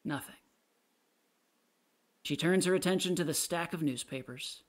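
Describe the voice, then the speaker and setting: A woman's voice: on the low side, a little slow. A woman reading a play's character notes and stage directions as scripted narration.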